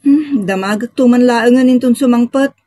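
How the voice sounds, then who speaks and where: A woman playing a feisty village woman, colloquial and expressive, in an audio comedy drama. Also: a little high, normal speed, background noise, natural voice. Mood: grumbling. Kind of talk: Ilocano radio-style comedy drama acting.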